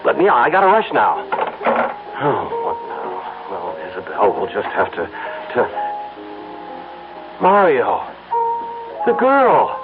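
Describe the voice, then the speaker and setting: A man's voice: on the low side, huge pitch swings, slow, pauses often, loud music behind the voice, exaggerated voice. An actor playing a harried, obsessed married businessman who stammers and hurries in a 1970s radio drama. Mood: hurried. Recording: dull vintage radio broadcast.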